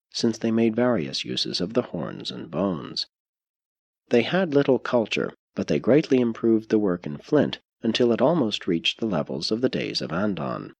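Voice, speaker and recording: Low, expressive male audiobook narrator, slightly husky. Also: no echo. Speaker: audiobook reader.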